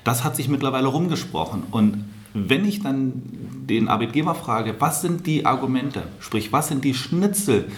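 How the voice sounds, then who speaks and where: Energetic male interview host. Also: mid-range voice, normal speed. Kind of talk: business interview.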